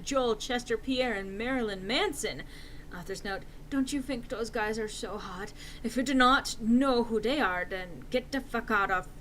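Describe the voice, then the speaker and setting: A woman's voice: medium pitch, fairly bright tone, slight background noise, poor audio. A woman narrating a fan fiction story as a theatrical, mock-serious dramatic reading.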